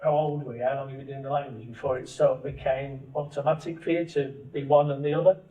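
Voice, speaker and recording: A man's voice: medium pitch, steady tone, quick pace, mellow. A man co-hosting a family podcast, asking long questions that restart as he goes. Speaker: podcast co-host, father. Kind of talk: casual family podcast chat. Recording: dull, echoey, distant mic.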